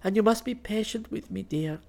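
Man voicing an anxious young woman, pitched high. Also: moderate pace, creaky, darkish tone. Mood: anxious pleading. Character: anxious, affectionate young woman pleading with her lover. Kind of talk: audiobook character dialogue.

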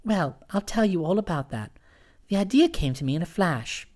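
A woman's voice: fairly low pitch, animated, normal speed, a little mellow, voice pushed down. A woman voicing a breezy, scheming young woman. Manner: breezy, matter-of-fact, teasing.

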